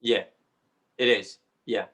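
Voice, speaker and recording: A man with a Brazilian Portuguese accent, a native Portuguese speaker, talking as an astrologer guest in an interview and pausing often to search for words. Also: lowish voice, steady tone, a bit bright. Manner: halting, searching for words with frequent pauses. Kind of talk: conversational interview.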